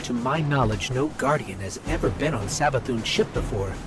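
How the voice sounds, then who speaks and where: A man's voice: medium pitch, lively intonation, normal speed, lightly acted. A man voicing a small, confident robot companion in video game dialogue, joking and planning aloud. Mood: wry. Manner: quippy, upbeat planner. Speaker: companion guide. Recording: game audio over loud music.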